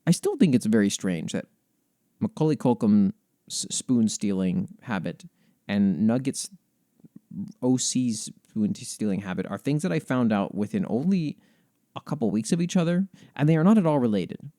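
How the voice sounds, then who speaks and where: A man hosting a podcast, reading fantasy lore in an expressive, measured storytelling way with casual commentary. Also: medium pitch, dry recording, studio quality. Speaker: podcast host.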